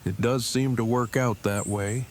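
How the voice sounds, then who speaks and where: A man's voice: medium pitch, fairly even intonation, measured pace, slight background noise, close to an everyday voice. A man playing an earnest, awkward, brainy engineer who talks in verbose, stilted sentences in an audio drama. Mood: polite, reserved. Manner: verbose, stilted, formal phrasing. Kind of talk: fan audio drama dialogue.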